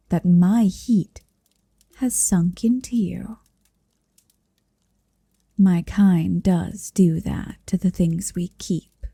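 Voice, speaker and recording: Casting: possessive, centuries-old dragoness played by a woman for ASMR roleplay. Languid, breathy half-whisper, lowish pitch, very close and dry, haughty yet doting. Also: dark tone, no echo, exaggerated voice. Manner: slow, languid, commanding, teasing. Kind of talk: ASMR roleplay, intimate monologue.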